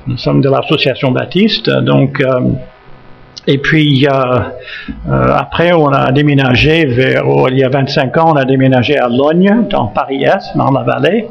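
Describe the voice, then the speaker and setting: A man in his seventies from Massachusetts with an American accent, a retired missionary pastor speaking French with many fillers and restarts and gentle jokes. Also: mid-range voice, gravelly, highs cut off. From United States, Massachusetts. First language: English. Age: 70s. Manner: halting, frequent fillers and restarts, gently joking. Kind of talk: church talk introducing himself.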